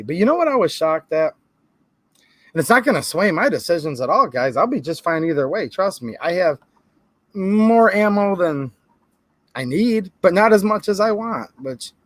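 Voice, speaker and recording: A man's voice: high voice, sing-song, moderate pace, no echo. A man with a Michigan accent hosting a firearms channel, talking casually to camera in a chatty, sarcastic way and often calling his viewers "guys.". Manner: holds items up to the camera while talking.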